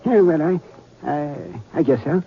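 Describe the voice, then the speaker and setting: A man playing a frightened, regretful old rancher in an old-time radio Western, halting and pleading. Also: a little high, slightly rough. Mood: uneasy. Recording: tinny vintage radio, faint music.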